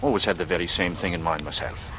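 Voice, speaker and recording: A man's voice: sits low, wildly swooping pitch, fast talker, creaky, exaggerated voice. An actor playing a cocky young man in a radio drama, glib and breezy with mock politeness. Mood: breezy, curious. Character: cocky, smooth-talking young working-class man, slyly charming. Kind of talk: 1940s radio acting. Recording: noisy vintage radio recording.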